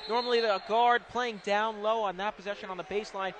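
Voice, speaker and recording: A man's voice: sits high, fairly even intonation, fairly quick, background noise. A man giving live color commentary and analysis on a basketball game for radio, from an arena. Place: indoor college basketball arena.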